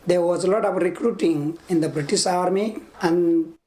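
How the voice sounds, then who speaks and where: A man in his fifties from Nepal with a Nepali accent, giving an oral history interview in a measured, halting way. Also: high voice, a little mellow. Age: 50s. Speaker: interviewee, army veteran. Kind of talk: oral history interview, recounting own life.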